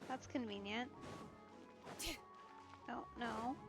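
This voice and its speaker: A woman's voice: fairly high pitch, steady tone, extremely slow, over music. A woman game streamer giving casual, wry commentary as she plays.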